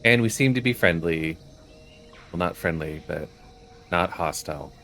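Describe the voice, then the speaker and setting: A man giving a low-key, casual running commentary as he plays through a video game. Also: animated, unhurried, a bit bright. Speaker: gaming video host. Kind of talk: casual game playthrough commentary with quest text read aloud. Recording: music behind the voice. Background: game music.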